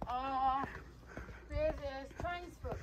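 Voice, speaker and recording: A woman chatting outdoors in halting English, searching for words. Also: high-pitched, poor audio. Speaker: friend guiding the hike. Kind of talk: casual chat. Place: outdoors on a mountain trail.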